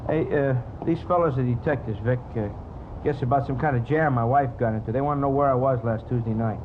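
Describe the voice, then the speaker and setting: An actor playing a plain-spoken, obliging American food stand operator in an old radio crime drama, talking in a casual, folksy way. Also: male voice, mid-range voice, fast, natural voice. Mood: puzzled. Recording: tinny, noisy old radio recording.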